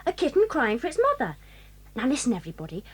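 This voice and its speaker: An actress playing a mischievous English boarding-school girl, a ringleader with eager manner and crisp diction, in a children's audio drama. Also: high-pitched, huge pitch swings, fast talker, heavily acted. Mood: scheming.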